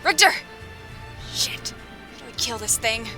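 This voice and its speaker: A tough female soldier, acted by a woman, highish and very animated. She is blunt and on edge, in a fantasy audio drama with music behind her. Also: a little slow. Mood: alarmed. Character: tense blunt female spear-wielding soldier. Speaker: voice actor in audio roleplay.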